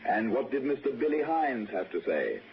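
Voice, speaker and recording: An actor playing a genteel, reassuring father in a 1960s radio suspense play, speaking formally and with gentle probing. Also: medium pitch, big pitch swings, moderate pace. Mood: fatherly, curious. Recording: muffled old radio drama with faint noise.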